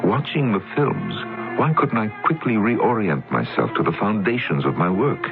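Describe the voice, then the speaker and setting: An actor playing a troubled male scientist who narrates in a halting, confessional way in an old radio drama. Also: fairly low pitch, animated, creaky. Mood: brooding. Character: troubled male scientist haunted by his past. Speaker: narrator and lead character. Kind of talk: 1970s radio drama acting. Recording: tinny old radio broadcast with music.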